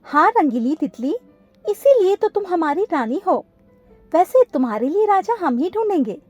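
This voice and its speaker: A woman's voice: very high voice, sing-song, moderate pace, a little mellow, dry recording, faint music behind, voice pushed up. A woman voicing a polite, loyal female butterfly in a cartoon story, with a courteous, deferential manner.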